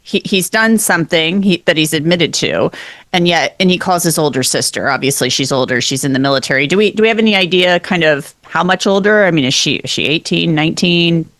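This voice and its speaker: A woman's voice: on the low side, a little fast, a bit bright, no echo, clean studio sound. A woman conducting a conversational interview, thinking aloud and rephrasing her questions as she goes.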